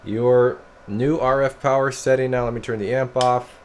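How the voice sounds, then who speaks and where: A man's voice: mid-range voice, normal speed. An American man giving a casual hands-on demonstration as a radio technician. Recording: steady noise behind the voice. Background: clear background noise.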